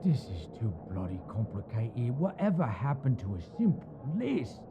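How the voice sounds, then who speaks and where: An actor voicing an exasperated old-school crook who grumbles. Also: male voice, mid-range voice, big pitch swings, moderate pace, dull audio, noisy background, close to an everyday voice. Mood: grumbling.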